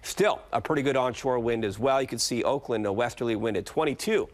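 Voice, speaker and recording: A man's voice: mid-range voice, brisk. A man giving a loose, conversational TV weather forecast, talking on the fly with fillers. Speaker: meteorologist.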